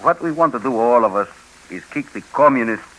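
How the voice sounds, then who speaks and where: Animated, forceful anti-communist exile. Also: male voice, mid-range voice, fairly quick, low-quality recording. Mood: resolute. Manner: emphatic, animated. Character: fervent, combative male anti-communist exile. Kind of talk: 1950s radio drama acting.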